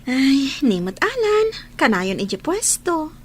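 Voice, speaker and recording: A woman's voice: high-pitched, slow pace, exaggerated voice. A woman playing a chatty, teasing young friend in a radio drama, exclaiming often, with an Ilocano accent. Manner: animated, exclaiming.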